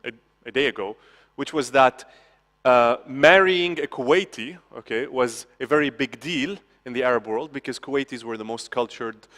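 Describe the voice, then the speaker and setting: A man gives a conference talk in a casual, chatty way. He checks in with the audience and restarts his sentences often. His voice sits at a middle pitch, and a long hall reverb trails behind it. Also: normal speed, fairly bright tone. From Lebanon. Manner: conversational, frequent "okay?" asides and restarts. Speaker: conference speaker.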